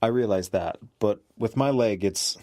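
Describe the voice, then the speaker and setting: A deep male voice, recorded very dry and close. An actor plays an injured survivor in an audio drama, reluctant and protective of his travelling companion. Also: moderate pace, mellow, no room sound, natural voice. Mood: reluctant, worried.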